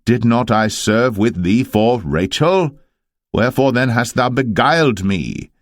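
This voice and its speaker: A man voicing an earnest, forceful shepherd in a dramatised scripture reading, with emphatic, declamatory archaic speech. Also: mid-range voice, animated, a little slow, no echo, studio quality, voice pushed up, natural voice. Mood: indignant. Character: Jacob, earnest male shepherd, forceful when wronged.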